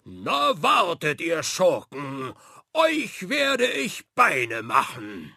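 A man's voice: lowish voice, wildly swooping pitch, measured pace, vocal fry, sharp tone, slightly rough, no room sound, studio quality, heavily acted. A man voicing a cheerful, bumbling, bearded pirate captain who mumbles sheepishly and can turn boisterous. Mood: gleeful.

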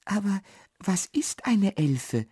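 A woman's voice: medium pitch, slow, warm tone, slightly rough, no echo, clean studio sound, voice pushed up. A woman voicing a tiny, timid male dwarf for a children's storybook, stammering and whispery. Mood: shy wondering.

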